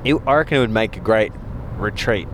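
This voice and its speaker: A man's voice: on the low side, a little fast. A man with an Australian accent chatting casually in a moving car, laid-back and often repeating himself or tagging on questions. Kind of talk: casual chat. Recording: clear road and car noise.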